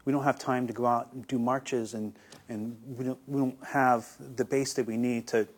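Young man, interview guest, mid pitch, earnest, stumbling restarts. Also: fairly even intonation, moderate pace, breathy edge. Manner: earnest, hesitant, repeats words. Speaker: guest, YouTuber. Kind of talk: conversational interview answers.

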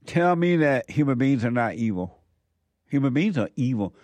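A man's voice: mid-range voice, very animated, moderate pace, a little mellow, no echo. A man hosting a radio call-in show, questioning the caller in a blunt, challenging way with short repeated questions. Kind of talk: call-in debate, probing questions.

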